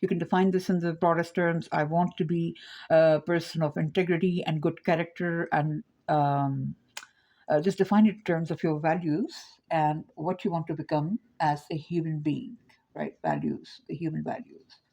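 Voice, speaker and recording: A woman's voice: deep voice, normal speed, dark tone. A woman teaching her framework in an informal lecture, meandering and thinking aloud, often checking in with "right?".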